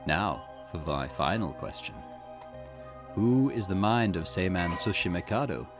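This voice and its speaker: A cryptic, formal storyteller figure, read aloud by a man, speaking in a low voice that rises and falls widely. The delivery is measured and theatrical, with game music playing clearly behind him. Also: animated. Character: cryptic, formal male storyteller guiding the player. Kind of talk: reading game dialogue aloud.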